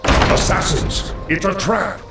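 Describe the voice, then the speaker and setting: Casting: solemn male undead servant for fantasy game, creaky, reverberant, loyal. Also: high-pitched, expressive, takes it slowly, a bit bright, heavily acted. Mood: urgent. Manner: deferential, solemn. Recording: echoing voice over faint music.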